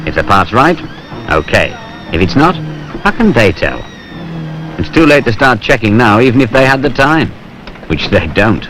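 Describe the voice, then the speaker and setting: A man's voice: mid-range voice, sing-song, normal speed, pauses often, a bit bright. A British man giving wry, colloquial, conversational narration for a film. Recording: noisy 1970s industrial film soundtrack.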